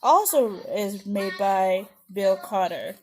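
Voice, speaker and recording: A woman's voice: medium pitch, animated, slow, a little hiss or hum. A woman teacher hosting a read-aloud storytime, talking in simple phrases to young children. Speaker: preschool and kindergarten teacher, storytime host.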